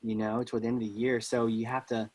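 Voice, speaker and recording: A man's voice: mid-range voice, level tone, quick pace, a little mellow, poor audio. A man hosting an interview podcast in a casual, chatty style.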